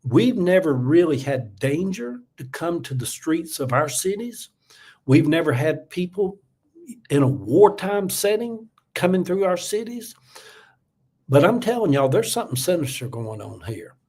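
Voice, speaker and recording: A man's voice: fairly high pitch, big pitch swings, measured pace, a little mellow, slightly rough. A seventy-year-old man, talking in a casual livestream, reading out viewer comments and giving earnest, repeated warnings with lots of ums and uhs. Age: 70s. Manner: earnest, repetitive, warning tone, many fillers. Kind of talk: casual political livestream talk.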